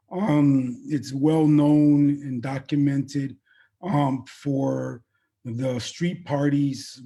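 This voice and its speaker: Casting: male interview guest, fifties, dark husky timbre, measured halting delivery in short phrases. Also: fairly high pitch. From born in Colombia, raised in the US. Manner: halting, pausing often between short phrases. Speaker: interview guest, veteran event producer. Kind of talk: interview answers about own background.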